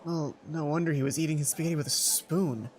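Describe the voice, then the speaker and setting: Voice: mid-range voice, fairly quick, a little hiss or hum. A let's play host reading a visual novel aloud with casual commentary, stumbling over words and interrupting the narration.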